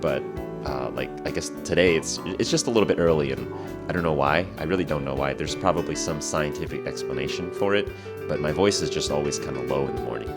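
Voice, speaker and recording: A young man with an extremely deep voice, lower than usual because it is morning, talks through a casual podcast episode for English learners. He rambles easily, filling gaps with "uh" and "like" and correcting himself as he goes. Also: fast talker, over loud music. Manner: relaxed, rambling, many fillers and self-corrections. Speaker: podcast host.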